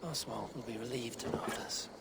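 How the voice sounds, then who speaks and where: Stern, loyal Viking game hero, played by a man, commanding, medium pitch, noisy outdoor ambience behind. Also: moderate pace, lightly acted. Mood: grim.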